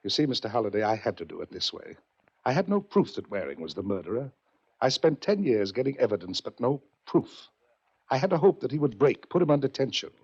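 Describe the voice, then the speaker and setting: An actor playing a shrewd, composed, wealthy yacht owner in a 1940s radio mystery, measured and courteous. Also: male voice, mid-range voice, very animated, a little mellow, breathy edge. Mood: composed, satisfied. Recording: dull vintage radio recording.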